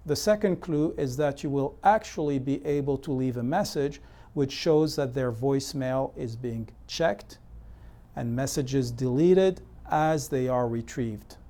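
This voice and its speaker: A man delivering scripted instructional narration as an educational presenter, in a measured, methodical, explanatory way. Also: medium pitch, dark tone. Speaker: presenter of real estate consumer education.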